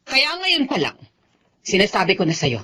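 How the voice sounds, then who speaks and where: A haughty, well-to-do Filipino mother in a radio drama. Her voice is husky and swings widely in pitch, and she speaks with blunt, theatrical disdain. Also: wildly swooping pitch, moderate pace, pushed and bright, heavily acted. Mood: cold disdain. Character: haughty, disapproving middle-aged wealthy mother.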